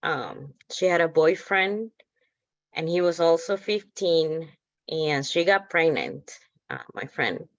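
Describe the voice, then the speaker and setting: A woman from El Salvador, an activist, giving a halting, earnest personal testimony over a slightly muffled video call. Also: low voice, animated, no echo. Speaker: activist organizer.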